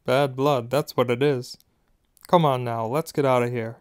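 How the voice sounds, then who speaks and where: A man's voice: mid-range voice, fairly even intonation, normal speed, natural voice. A man voicing a huge, loyal, protective storybook figure who talks in a blunt, emphatic way. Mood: gruff disapproval.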